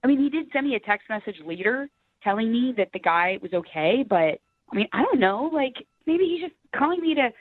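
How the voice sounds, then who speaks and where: A woman's voice: on the high side, big pitch swings, a little fast, dry recording. A woman calling in to a radio dating segment, chatting casually and bluntly over the telephone. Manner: candid, blunt. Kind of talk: casual phone conversation. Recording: thin phone line.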